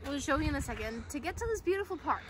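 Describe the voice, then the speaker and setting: A woman's voice: high voice, expressive, racing, slight background noise. A woman in her twenties chatting casually for a travel vlog, recorded on a handheld phone, mostly out on the street. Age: 20s. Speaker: vlogger. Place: mostly outdoors on city streets. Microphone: handheld phone at arm's length. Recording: loud music over handheld vlog audio.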